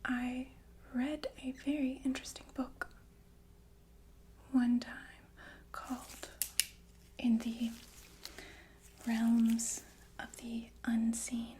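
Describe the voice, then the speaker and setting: A woman playing a calm, sinister killer nurse in a whispered ASMR roleplay, speaking politely and matter-of-factly with hesitant, unhurried phrasing. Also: a little high, a little hiss or hum. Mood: chatty, eerie. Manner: unhurried, hesitant, softly matter-of-fact about death. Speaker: ASMR performer.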